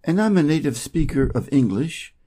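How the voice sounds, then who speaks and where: A man from Wisconsin with an American Midwest accent, an English teacher reading practice dialogues slowly and playing both parts. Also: big pitch swings, dark tone, breathy edge. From Wisconsin, USA. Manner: reads both dialogue parts, raising his pitch for speaker A.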